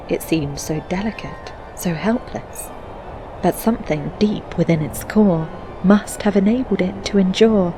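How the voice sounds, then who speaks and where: A woman playing a reflective narrator who recites melancholy fantasy poetry in a measured, solemn, lyrical way for a video game. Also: lowish voice, background noise, natural voice. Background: music.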